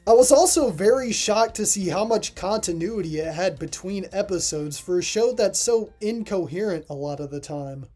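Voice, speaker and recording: A video essay reviewer reads scripted review narration in a mellow, relaxed voice. The pitch sits in a middle register, and faint music plays underneath. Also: moderate pace, hardly any pauses.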